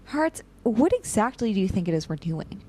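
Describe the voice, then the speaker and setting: A young woman playing a dry, cynical, practical operative in an audio drama, with a deadpan, sardonic way of talking. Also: mid-range voice, moderate pace. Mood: wry, impatient. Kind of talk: audio drama dialogue and log entries.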